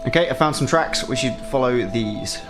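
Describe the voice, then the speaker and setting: A man's voice: mid-range voice, lively intonation, normal speed. A man playing a tabletop role-playing game, trading blunt, wry casual banter. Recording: music playing behind the voice. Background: music.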